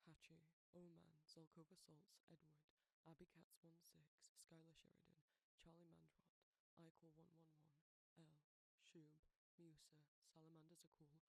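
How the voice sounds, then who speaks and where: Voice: medium pitch, completely flat delivery, a little slow, gravelly, hardly any pauses, a little hiss or hum, poor audio. An audio creator reading a scripted channel outro.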